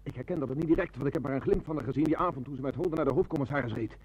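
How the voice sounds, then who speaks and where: An actor playing an eager, urgent junior policeman with a raspy voice in a Dutch radio play. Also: medium pitch, very fast, a little mellow, a little hiss or hum, lightly acted. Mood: urgent, insistent. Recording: dull, band-limited radio play recording.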